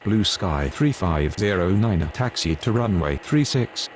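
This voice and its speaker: A man's voice: deep voice, lively intonation, a little slow. A man delivering scripted air traffic radio calls in standard pilot and controller phraseology.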